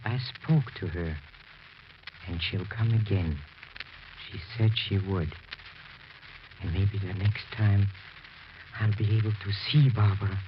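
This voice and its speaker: A man's voice: lowish voice, slow pace, pauses often. An actor playing a grief-stricken, obsessed widower pleading melodramatically for his dead wife in a 1940s radio horror drama. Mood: haunted, dazed. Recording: muffled, noisy old radio broadcast.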